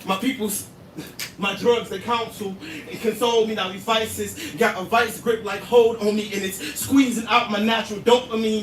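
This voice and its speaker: Man, slam poet, high voice, rhythmic intense delivery, roomy distant live recording, background noise. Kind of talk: spoken word performance. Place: live poetry venue, indoors.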